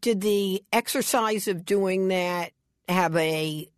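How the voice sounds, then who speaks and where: A woman's voice: medium pitch, slow pace, no room sound, studio quality. A woman interviewer speaking conversationally as she talks with an author about a book.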